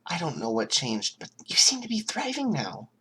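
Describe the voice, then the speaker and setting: A narrator doing a soft, breathy, high-voiced mother. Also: wildly swooping pitch, normal speed, exaggerated voice. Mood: tender, relieved. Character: gentle, worried, loving mother of a teenager.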